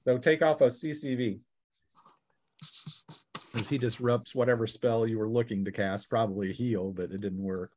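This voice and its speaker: A man with a medium-pitched voice runs a tabletop role-playing game. He narrates the action in a casual, halting way and drops in and out of rules talk as he goes. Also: steady tone, darkish tone. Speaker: game master.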